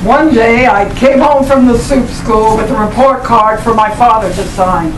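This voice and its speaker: An older woman giving a deliberate, expressive dramatic reading of literary narration in a small meeting room. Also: fairly high pitch. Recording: roomy, noisy event room, distant microphone.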